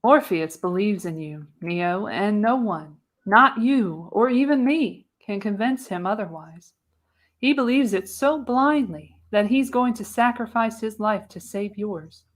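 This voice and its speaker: A woman's voice: medium pitch, animated, a little slow. Radio play role: older female seer, folksy, wry, knowing, acted by a woman at a table read. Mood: grave, sympathetic.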